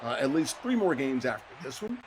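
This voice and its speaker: A middle-aged man doing play-by-play commentary on a football video game tournament, his voice jumping up with excitement on big plays. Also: mid-range voice, brisk, rough recording. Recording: faint background noise.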